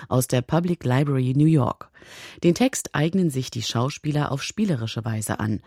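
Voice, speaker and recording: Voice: medium pitch, warm tone, dry recording, studio quality. A radio presenter giving a scripted introduction to an audio drama.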